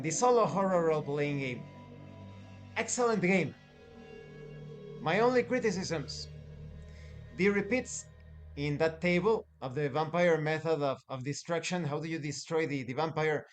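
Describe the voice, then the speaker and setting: Male game reviewer, slightly high voice, deliberate emphatic phrasing, light music bed underneath. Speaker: tabletop game reviewer and channel host. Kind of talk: review commentary to viewers.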